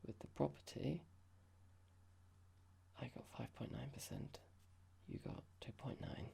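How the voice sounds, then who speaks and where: A performer voicing a horrible, condescending office boss in a whispered ASMR roleplay, mock-polite and slow, asking pointed, patronising questions. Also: medium pitch, expressive, gravelly, dark tone, slight background noise. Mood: smug, reproachful.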